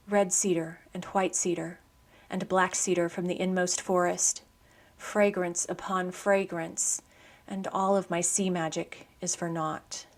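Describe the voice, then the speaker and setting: A woman hosting a poetry program, speaking in a measured, articulate scripted style for radio narration and poem reading. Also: fairly low pitch.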